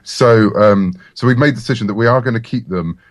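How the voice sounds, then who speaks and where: A man's voice: mid-range voice, fairly quick, no room sound. A man chatting casually and enthusiastically as the guest in an interview, talking as a comic writer.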